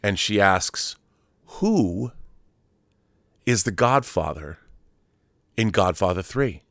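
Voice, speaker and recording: A man's voice: lowish voice, animated, takes it slowly, fairly bright tone, no echo, studio quality. A middle-aged man hosting a film channel, talking casually off the cuff in a rambling, emphatic storytelling way and often correcting himself. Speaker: host of a film discussion channel. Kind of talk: casual unscripted monologue to viewers.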